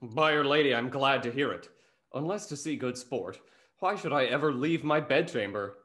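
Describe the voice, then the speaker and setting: A young man playing a snarky, gossip-loving gentleman in a period comedy, with a wry theatrical manner. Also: mid-range voice, normal speed, close to an everyday voice. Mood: amused. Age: young adult. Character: snarky, gossip-loving male gentleman, rake's best friend. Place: indoor room, video call with earphones.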